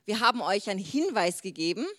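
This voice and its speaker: A woman's voice: mid-range voice, big pitch swings, quick pace, a bit bright. A woman in her twenties with a Swiss German accent, speaking informally to a group in a chatty way and dropping in English words. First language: Swiss German dialect. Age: 20s.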